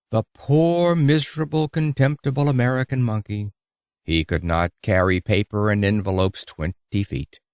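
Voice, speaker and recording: Animated male audiobook narrator, dry sound. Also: lowish voice, dry recording. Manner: theatrical storytelling, emphatic. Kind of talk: expressive narration of an old-fashioned oratorical lecture.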